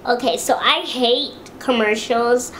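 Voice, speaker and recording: A young woman with a high-pitched voice, chatting casually to camera in a home video and talking about herself. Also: takes it slowly, a little hiss or hum. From Los Angeles, USA. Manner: chatty, relaxed, with many fillers and restarts. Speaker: beauty vlogger.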